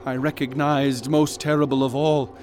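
An actor playing a haunted man who narrates his own horror in slow, ornate, dread-laden words. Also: mid-range voice, little pitch movement, darkish tone, lightly acted.

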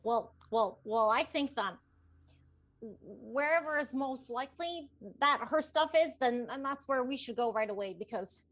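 A woman's voice: high voice, animated, measured pace, fairly bright tone, low-quality recording. A woman playing a tabletop game, speaking in character. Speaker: player. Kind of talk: casual in-character play.

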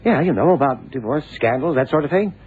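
An actor playing an evasive young lawyer in a radio drama, talking in a hesitant, defensive, colloquial way. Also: male voice, mid-range voice, animated, fast, husky, phone-quality sound. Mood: defensive, casual. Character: evasive, ambitious young American male lawyer. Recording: thin, noisy 1960s radio drama recording.

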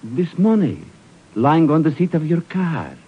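An actor playing a sly, flattering Russian newsman, formal and insinuating, in a 1950s radio drama. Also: a little high, a little slow, faint music behind. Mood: sly flattery. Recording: hissy, dull 1950s radio recording.

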